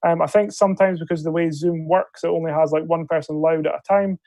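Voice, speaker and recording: A man with a fairly high voice that keeps breaking into a gravelly fry, chairing an online debate. He hesitates politely between phrases, and the sound is dry, from a video call mic. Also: steady tone, quick pace, mellow, dry recording. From Scotland. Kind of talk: procedural briefing on a video call. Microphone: video call microphone.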